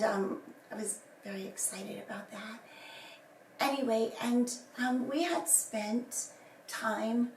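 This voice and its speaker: Slow, hoarse woman recovering her voice. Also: medium pitch, darkish tone, slight background noise. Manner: rambling, many fillers and restarts. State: recovering from lost voice, hoarse and whispery. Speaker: person giving a personal account. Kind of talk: casual personal statement to camera. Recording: echoey room from a distance, faint ambience.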